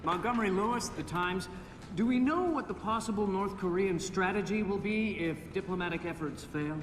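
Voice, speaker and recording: High-voiced male reporter in echoey hall acted. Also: moderate pace, lightly acted. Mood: inquiring. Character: probing, formal male newspaper reporter. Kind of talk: press conference question in a video game. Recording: echoey hall with faint rumble.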